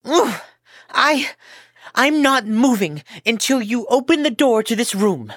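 A woman playing a boyish, stubborn young king in a children's story, with a whiny, drawn-out delivery. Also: on the high side, animated, fairly bright tone, dry recording, clean studio sound, full character voice. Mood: insistent. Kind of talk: children's audio story acting.

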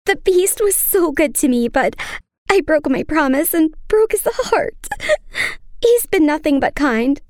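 A woman playing a kind, earnest young daughter in a children's fairy tale, speaking sweetly and gently. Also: high voice, expressive, measured pace, no room sound, studio quality. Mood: remorseful.

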